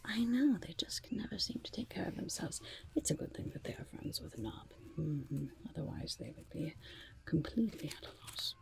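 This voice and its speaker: A woman's voice: on the low side, big pitch swings, normal speed. A woman voicing a sly, chatty goblin market peddler in a whispered ASMR roleplay, with wheedling salesmanship. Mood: confiding, smug. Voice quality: breathy, husky whisper with creaky edges. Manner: wheedling salesmanship, speaks of self in third person.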